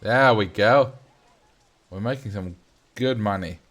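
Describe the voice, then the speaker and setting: A man's voice: fairly low pitch, takes it slowly, a bit bright. Dutch-accented man casually streaming a game. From Netherlands. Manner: chatty, reads and answers chat aloud. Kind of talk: casual livestream commentary while playing a game.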